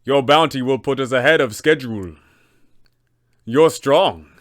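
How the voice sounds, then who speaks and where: A man's voice: medium pitch, unhurried, no room sound, clean studio sound, natural voice. A man playing a commanding, brutish pirate captain. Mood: menacing boast. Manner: commanding, threatening. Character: brutish, menacing, imposing male pirate captain.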